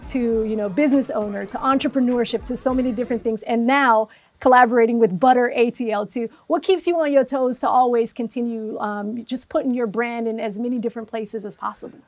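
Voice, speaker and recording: A woman's voice: on the high side, steady tone, fairly quick, hardly any pauses, faint music behind. Attentive East African woman interviewer. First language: not English. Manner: warm affirming, frequent "mm-hmm". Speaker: interview host. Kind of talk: interview questions.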